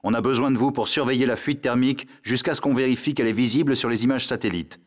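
An actor voicing a stern male command operator in a video game, formal and measured over the radio. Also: medium pitch. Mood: stern, businesslike. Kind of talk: scripted military radio chatter.

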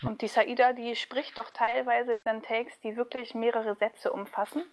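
A woman's voice: a little high, level tone, normal speed. A woman who works as a voice actress, chatting casually and thoughtfully as an interview guest, with a giggly streak.

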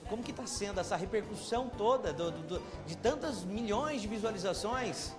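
A man in his thirties interviews a guest with cheerful, talkative energy, holding a handheld mic on an indoor studio set. His voice sits high for a man, and music plays underneath. The sound is a TV feature. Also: animated, moderate pace. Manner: upbeat, chatty presenter.

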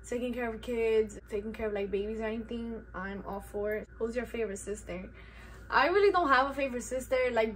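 A teenage girl from New York with a New York accent, Spanish her first language, chatting in a relaxed, slangy way in a bedroom vlog Q&A. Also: fairly high pitch, slight background noise, low-quality recording. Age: teens. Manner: laid-back, slangy, self-teasing. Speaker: YouTuber. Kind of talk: casual Q&A vlog. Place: bedroom, indoors.

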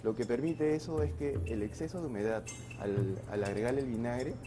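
A man's voice: mid-range voice, music in the background. A man in his forties, a chef, explaining his dishes in a restaurant kitchen with frequent restarts and fillers. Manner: halting, many restarts and fillers. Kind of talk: casual kitchen interview. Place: restaurant kitchen and sushi bar.

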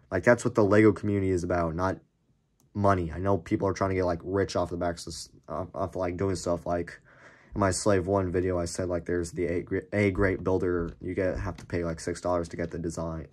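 A young man with a deep, cracked, slightly hoarse voice, rambling casually with lots of fillers while showing off a toy build. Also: darkish tone. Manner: rambling, many fillers and restarts. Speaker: Lego YouTuber. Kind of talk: casual show-and-tell of a build. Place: small room indoors at a table. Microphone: camera filming hands over a desk.

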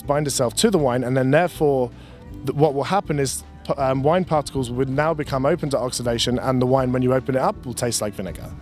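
A young man at an outdoor table at a winery, explaining wine in a relaxed, chatty way with many restarts, into a handheld mic. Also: medium pitch. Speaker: winery representative. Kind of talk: casual explanatory talk. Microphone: handheld mic held by the interviewer.

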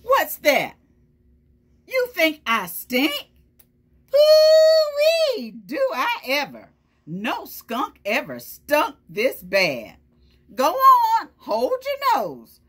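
A woman voicing a boastful, loud garbage truck from a children's storybook, brash and proud. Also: sits high, huge pitch swings, extremely slow, voice pushed up, heavily acted. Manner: brash, boastful, shouting orders.

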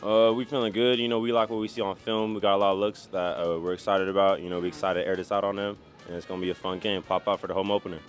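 A teenage boy talks fast and easily in casual slang while being interviewed outdoors on a school campus. His voice sits fairly low. Music plays clearly under him. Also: flat delivery. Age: teens. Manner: laid-back, casual slang. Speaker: football player. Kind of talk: street interview. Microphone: handheld mic.

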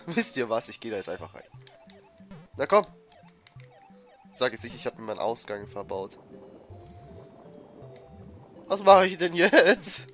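A man with a cold giving excitable, frustrated commentary over a platform game he is playing. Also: mid-range voice, a little slow, pauses often. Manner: frustrated, excitable, swears and laughs. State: has a cold, coughing. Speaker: let's player. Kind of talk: gameplay commentary. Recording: faint game music behind. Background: game music.

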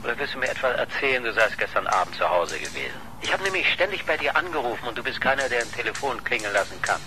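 An actor playing a pushy, sardonic reporter in a German radio crime drama, insistent and teasing. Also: male voice, mid-range voice, very animated, fast talker, music in the background, lightly acted. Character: pushy, sardonic male reporter chasing a murder story.

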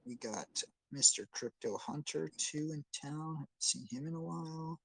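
An upbeat moderator calls speakers up one after another, in a loud and friendly way. The audio is dry. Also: medium pitch, normal speed, dry recording, rough recording. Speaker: voice chat moderator. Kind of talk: hosting a voice chat.

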